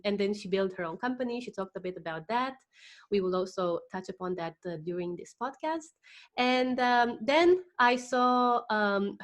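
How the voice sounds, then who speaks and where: A woman hosting a podcast interview, introducing her guest enthusiastically and talking with frequent fillers. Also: mid-range voice. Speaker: podcast host.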